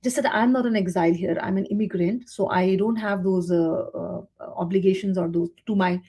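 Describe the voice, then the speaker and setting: Woman with a soft, husky voice. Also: mid-range voice, fairly even intonation, moderate pace. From India. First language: Hindi. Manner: thoughtful, with many fillers and restarts. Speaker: guest writer and translator. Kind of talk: conversational interview answers.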